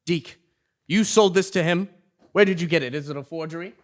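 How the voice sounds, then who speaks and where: A man's voice: fairly high pitch, a little fast, close to an everyday voice. An actor playing a well-meaning, exasperated new king in a comic stage play, earnest and theatrical. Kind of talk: live absurdist comedy stage acting.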